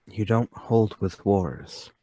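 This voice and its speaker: A man playing a troubled, forgetful older gentleman in a radio mystery drama, speaking politely and haltingly. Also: on the low side, expressive, no room sound. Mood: subdued, grave. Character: troubled, forgetful, soft-spoken older gentleman, haunted and deliberate.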